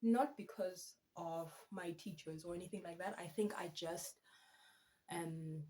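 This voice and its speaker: Woman, 30s, Afrikaans first language, lowish voice, casual and self-deprecating vlog chat. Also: measured pace. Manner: chatty, self-mocking, animated asides. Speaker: vlogger and student teacher.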